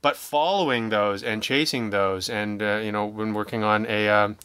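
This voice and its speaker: A man speaking as a guest in a casual interview, wry and self-deprecating, telling things in an animated way. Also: on the low side, normal speed, clean tone, no room sound. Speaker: interview guest, audio drama writer and producer.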